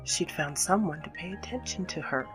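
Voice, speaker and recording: A woman playing an anxious, guilt-ridden mother in a dramatic scripted horror narration, theatrical and dipping into breathy near-whispers. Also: lowish voice, moderate pace, soft background music, close to an everyday voice, poor audio. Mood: confessional, uneasy.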